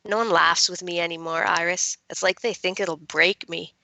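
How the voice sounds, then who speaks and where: A woman voicing an earnest, smitten teenage boy in a soft way while reading a young adult novel. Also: on the low side, fairly even intonation, moderate pace, creaky, dry recording. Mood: tender, vulnerable.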